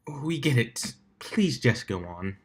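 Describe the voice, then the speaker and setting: A man's voice: medium pitch, big pitch swings, slow pace. A man voicing a prim, dramatic female unicorn with proper, fussy phrasing in an amateur fan fiction reading. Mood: disgusted.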